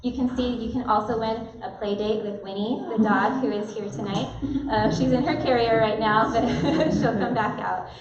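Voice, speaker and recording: A woman's voice: a little high, normal speed, hardly any pauses. A chatty woman welcomes guests to a gallery opening, filling her talk with "um"s and easy laughs. She sounds distant and dull, caught in an echoing room with a crowd murmuring behind her. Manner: chatty, many fillers, laughs easily. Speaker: arts organization staff member introducing the event. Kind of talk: casual welcome speech. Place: gallery opening reception, indoors.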